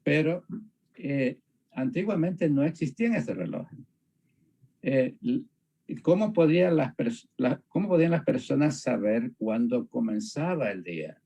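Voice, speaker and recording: A man from Panama, explaining reflectively in conversation, haltingly and repeating phrases for emphasis. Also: mid-range voice, lively intonation, vocal fry, mellow, husky. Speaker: discussion partner on a religious show.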